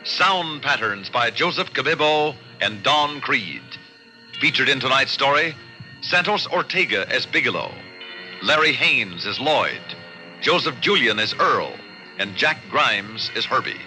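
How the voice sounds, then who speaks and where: A man's voice: medium pitch, big pitch swings, measured pace, bright, forceful tone, poor audio. A man reading scripted announcements in a formal, polished, emphatic old-time radio style. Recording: old network radio broadcast, faint music.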